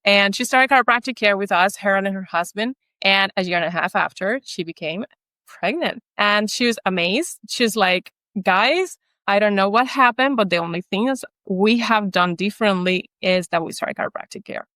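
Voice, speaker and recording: A woman's voice: medium pitch, animated, moderate pace, sharp tone, no room sound. A woman in her thirties from Puerto Rico, a native Spanish speaker with a Puerto Rican accent, telling her story earnestly as a guest chiropractor in a podcast interview.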